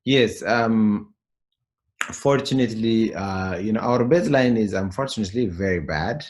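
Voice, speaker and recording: Male interviewee, deep register, Ethiopian accent. Unscripted, explanatory delivery with a halting flow. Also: darkish tone. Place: remote call.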